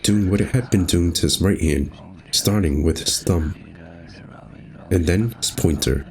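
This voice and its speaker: Male game narrator and host, low-pitched delivery, faint noisy ambience underneath. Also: measured pace, a little mellow. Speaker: gaming video host and narrator. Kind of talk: reading visual novel narration aloud, with casual commentary.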